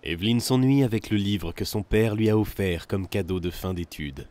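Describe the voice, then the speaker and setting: A man narrates a film in the third person with a fairly low voice. The recording is dry and free of room sound, and the delivery is that of a scripted storyteller. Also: normal speed, a little mellow, no echo.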